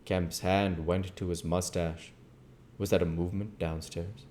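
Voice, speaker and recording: A man's voice: low-pitched, flat delivery, unhurried, a little mellow, a little hiss or hum. A man reading a storybook aloud as narrator. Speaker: audiobook reader.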